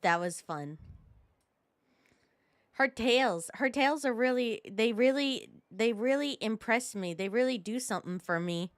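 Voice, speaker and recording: A young woman streamer chats casually over a game, playful and rambling. Her voice is a little high and very animated. It is recorded dry, with faint music underneath. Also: unhurried, dry recording. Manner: playful, rambling asides, repeats words. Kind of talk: casual live playthrough commentary.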